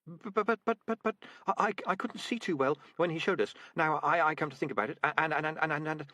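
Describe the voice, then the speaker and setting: A man's voice: fairly high pitch, a little fast, sharp tone, dry recording, voice pushed up. A man voicing a timid young female rat trainee who stammers in panic. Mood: panicky.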